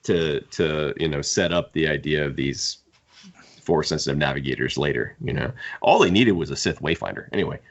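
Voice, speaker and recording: A man's voice: extremely low pitch, normal speed, muffled recording. A man co-hosting a podcast, talking through fan topics in a rambling, conversational way. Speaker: podcast co-host. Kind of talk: casual fan discussion.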